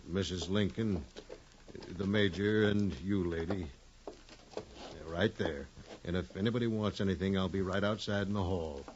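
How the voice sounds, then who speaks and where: Bored police guard, low plain voice, old muffled radio drama recording. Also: male voice, unhurried. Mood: dutiful. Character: bored, fidgety policeman guarding a theater box. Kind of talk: 1950s radio acting. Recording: noisy, dull 1950s radio recording.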